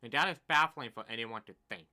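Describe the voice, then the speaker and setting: A deaf man in his twenties rambling through a casual complaint about slow game updates, his speech uneven in loudness. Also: medium pitch. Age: 20s. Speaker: gaming YouTuber. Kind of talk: casual commentary vlog.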